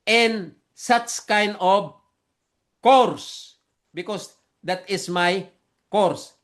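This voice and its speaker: A Filipino man whose first language is Visayan, preaching and teaching theology online in simple, halting English with earnest repetition. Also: sits high. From Philippines.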